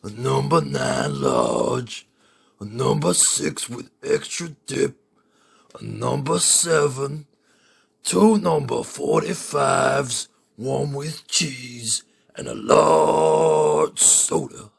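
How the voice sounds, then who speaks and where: Man doing a very slow, gravelly fast-food customer. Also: medium pitch, animated, heavily acted. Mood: weary, deliberate. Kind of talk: comic voice samples.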